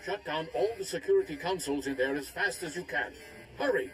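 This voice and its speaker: A man's voice: a little high, brisk, natural voice. Man as an earnest, authoritative Jedi officer. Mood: urgent. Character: earnest, grave male Jedi military ally. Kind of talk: scripted video game acting. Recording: faint game music behind the voice.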